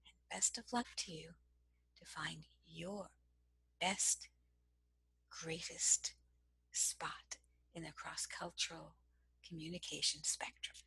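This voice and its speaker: Soft, breathy woman teaching slowly. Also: sits low, fairly even intonation, no room sound, in a whisper. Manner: slow, measured and gentle. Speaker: cross-cultural communication trainer. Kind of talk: instructional lesson to camera.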